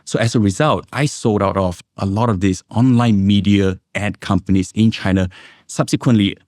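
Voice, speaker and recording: A middle-aged man from Indonesia and Singapore, an investment analyst, giving measured, reflective answers as an interview guest. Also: fairly low pitch, darkish tone, no room sound, studio quality.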